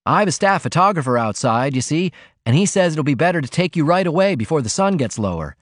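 A man's voice: on the high side, big pitch swings, brisk, no echo, studio quality, voice pushed up. A man voicing a brash, self-important young cub reporter in a storybook reading, with an airy, eager manner. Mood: breezy. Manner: eager, airy, indignant when crossed.

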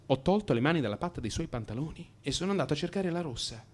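A man's voice: a little high, lively intonation, quick pace, slight background noise. A man narrating a theatrical first-person radio drama monologue, dramatic and changeable in his delivery.

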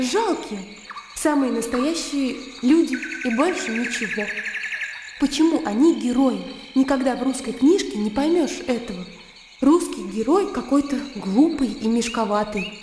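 An actress playing a naive, blunt young country gentlewoman in a Russian radio drama, opinionated and emotional. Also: fairly high pitch, background noise, close to an everyday voice. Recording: roomy long reverb with music behind.

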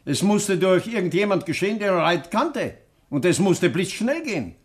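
An actor playing a gloating, sneering villain in a 1960s German radio crime drama, mocking and goading. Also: male voice, high-pitched, big pitch swings, moderate pace. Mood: sly, incriminating. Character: gloating, sneering male villain, bitterly amused accomplice.

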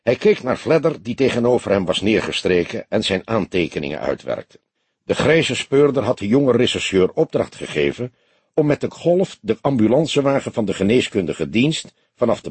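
Male audiobook narrator for crime fiction: low voice, very varied intonation, dry close sound. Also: darkish tone, slightly rough, dry recording. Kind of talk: scripted narration of a detective novel.

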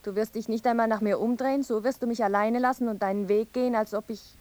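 A woman's voice: fairly high pitch, steady tone, fast talker, a little mellow, lightly acted. A woman playing a mournful, visionary young wife in a poetic radio play, with solemn, incantatory delivery. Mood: reproachful.

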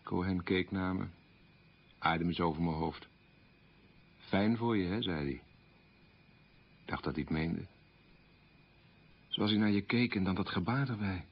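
Low, husky middle-aged man. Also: measured pace, pauses often, heavily acted. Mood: rueful. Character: troubled man candidly recalling his wartime boyhood. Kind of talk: radio play monologue in interview manner. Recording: muffled old radio recording.

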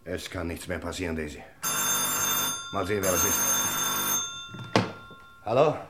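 A man's voice: sits low, a little slow, heavy background noise. A man acting a guilty middle-aged businessman in a radio crime drama, evasive, blustering and threatening. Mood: evasive, defiant.

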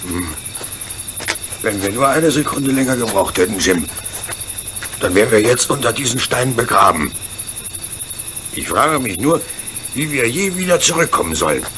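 An actor playing a gruff, hearty, good-natured locomotive driver in a German radio play. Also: male voice, mid-range voice, big pitch swings, moderate pace, long pauses, noisy background, natural voice. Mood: relieved, weary.

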